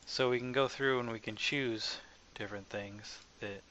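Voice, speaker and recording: A man narrating a casual software tutorial as the developer, informal and hesitant, as if thinking aloud. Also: medium pitch, steady tone, moderate pace. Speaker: software developer presenting own asset package. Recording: dull lo-fi mic with faint background noise.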